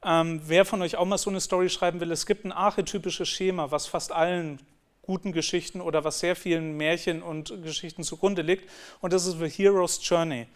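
Earnest German-speaking male lecturer, slightly high. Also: fairly even intonation, brisk. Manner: earnest, explanatory, with frequent restarts. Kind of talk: conference talk on teaching.

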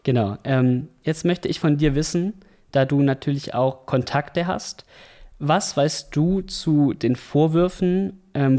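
Mellow-voiced male podcast host. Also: medium pitch. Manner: reads a prepared intro, steady and clear. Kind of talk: scripted intro and interview questions.

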